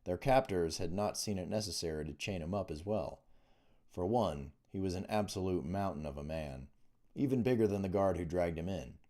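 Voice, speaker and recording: A man narrates a fantasy novel in a low register. His tone is mellow and soft-edged, delivered as scripted storytelling by an author. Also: moderate pace. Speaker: author reading own book. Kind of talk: audiobook narration of fantasy fiction.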